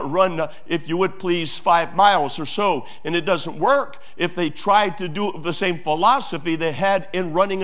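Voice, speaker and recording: A man's voice: high-pitched, a bit bright. A pastor preaching a sermon to his church, emphatic, with repeated phrases and many fillers.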